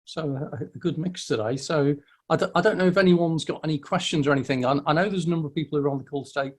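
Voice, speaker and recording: A man's voice: a little high, fast. Welcoming man hosting a video call. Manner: friendly, stammering restarts, welcoming. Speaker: host of a weekly online meetup. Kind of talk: casual chat on a video call.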